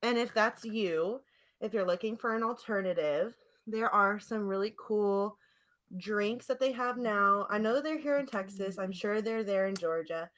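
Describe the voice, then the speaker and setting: A woman's voice: medium pitch, measured pace, fairly bright tone. A woman co-hosting a podcast in casual chat, effusive and giggly in her delivery. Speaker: podcast co-host. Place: remote internet call.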